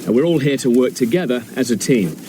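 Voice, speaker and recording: An actor playing a reasonable, politely sceptical team organiser in a radio sitcom, matey and wry. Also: male voice, medium pitch, animated, quick pace, mellow, a little hiss or hum, close to an everyday voice.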